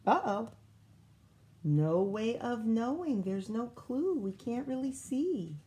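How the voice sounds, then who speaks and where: A woman teacher reading a picture book aloud to young children in an expressive, sing-song way, with coaxing asides and questions. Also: a little high, slow pace, slight background noise. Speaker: primary school teacher reading aloud to pupils.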